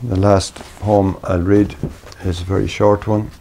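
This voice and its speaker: An Irish man reading his own poetry in a measured, deliberate way, pausing between short phrases. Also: fairly low pitch, level tone, creaky, warm tone, a little hiss or hum. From Ireland. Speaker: poet reading own work.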